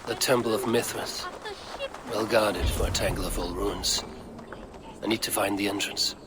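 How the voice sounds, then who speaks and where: An actor playing a grim, shrewd male Viking raider in a video game, speaking in a measured, terse way. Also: lowish voice, steady tone, very noisy, close to an everyday voice. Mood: low, resolute.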